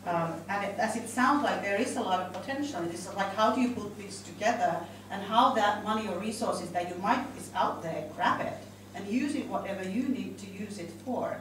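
A woman's voice: medium pitch, a little fast, hardly any pauses. A middle-aged woman from Finland with a Finnish accent, thinking aloud in an informal panel discussion. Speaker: panel speaker, institution director. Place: indoor conference room. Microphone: handheld microphone. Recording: echoey room, distant, faint noise.

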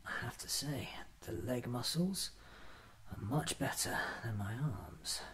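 A man in his thirties doing an ASMR roleplay monologue, often whispering and musing to himself in short halting phrases, recorded with ambient noise. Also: medium pitch, animated, fairly bright tone, low-quality recording. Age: 30s.

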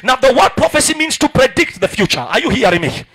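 A young Kenyan man preaching a sermon in a large church hall on a handheld mic, calling out to the congregation and rising into shouts. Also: very high voice, big pitch swings, fast talker. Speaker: pastor. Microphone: handheld microphone.

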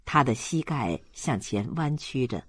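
An audiobook narrator reading a literary story in a measured, dramatic storytelling manner. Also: medium pitch, lively intonation, a bit bright, slightly rough, dry recording.

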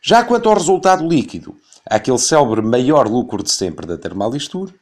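A man in his thirties with a European Portuguese accent, reading a critical radio commentary and reciting long figures in a measured, careful way. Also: medium pitch, big pitch swings, a little mellow. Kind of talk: scripted opinion commentary. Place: radio studio.

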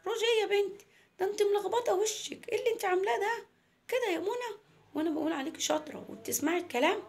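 A shrill, high and hurried storybook grandmother, as a woman storyteller acts her out. She is bossy and indignant, always scolding. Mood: shocked, scolding.

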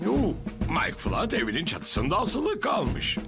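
An actor playing a self-important, easily flustered older fire chief in a children's cartoon, blustering with hesitant hums. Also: male voice, sits high, huge pitch swings, takes it slowly, heavily acted. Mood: bustling. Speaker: fire station officer. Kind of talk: animated children's cartoon dub. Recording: loud music behind.

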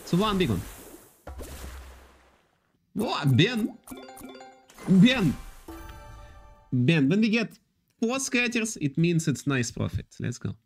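Lively man streaming casino slots. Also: high voice, slow pace, long pauses, soft background music. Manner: reads and answers chat, loose non-native English with repetitions. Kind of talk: live stream chat with viewers.

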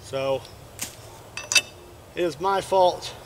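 A young man with a Kentucky accent talks in an easygoing, plainspoken way, thinking aloud as he goes. His voice sits a little high for a man. He is recorded by a camera mic out in an open field, with faint noise behind him. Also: very slow, a little mellow. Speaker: shooting channel host. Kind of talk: casual outdoor demo narration. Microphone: camera mic, sometimes at a distance.

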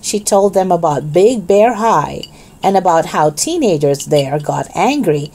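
A woman narrating a children's storybook as a read-aloud host, with expressive, deliberate delivery for young listeners. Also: on the low side, slight background noise.

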